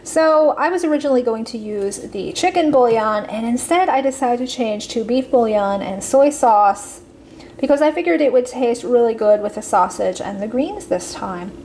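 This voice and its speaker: Woman, cooking host, fairly high voice, casual step-by-step explaining, faint noise behind. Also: lively intonation, normal speed, darkish tone. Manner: friendly step-by-step instructions with fillers. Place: home kitchen.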